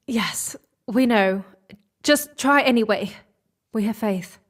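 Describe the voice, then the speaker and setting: A woman playing a determined, hopeful stowaway pilot, deliberate and commanding, in a sci-fi audio drama. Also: on the high side.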